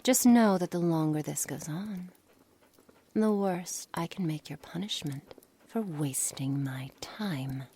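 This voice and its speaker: A woman playing a cold, curious, menacing witch in an ASMR roleplay, speaking formally and condescendingly, often dropping into breathy near-whispers. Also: on the low side, takes it slowly, dark tone, full character voice. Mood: menacing, taunting. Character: cold, menacing, curious witch experimenting on her captive pet. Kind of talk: ASMR roleplay, scripted dramatic monologue. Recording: noisy background ambience.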